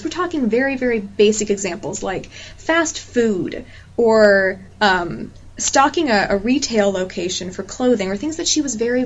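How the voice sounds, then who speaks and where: A woman who tutors people for quality certification exams, explaining her points earnestly and emphatically with repeated examples. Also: mid-range voice. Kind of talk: explanatory monologue. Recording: slightly muffled lo-fi audio with faint hiss.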